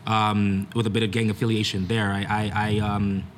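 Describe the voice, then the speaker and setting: A young man from Canada speaks as a podcast guest, his voice pitched fairly low. He talks haltingly, stopping and restarting often, over a faint ambient hum. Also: little pitch movement. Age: young adult. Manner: hesitant, with many fillers and restarts. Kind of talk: casual chat.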